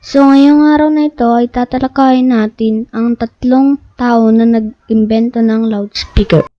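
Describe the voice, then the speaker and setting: A woman's voice: fairly high pitch, fairly even intonation, measured pace. A Filipino woman reading a scripted educational narration aloud, stumbling over some words. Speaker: narrator of an educational video channel. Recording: dull lo-fi recording.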